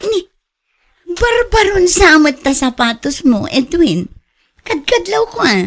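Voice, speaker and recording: An actress with an Ilocano accent playing a practical, modest co-worker in a radio drama, talking conversationally. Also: female voice, very high voice, very animated, slow, full character voice. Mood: curious. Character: practical, unpretentious female co-worker, modest about spending.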